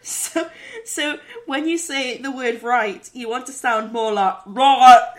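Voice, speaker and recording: A woman's voice: a little high, slow pace. A woman co-hosting in casual comic banter, reading instructions aloud in a giggly, playful manner.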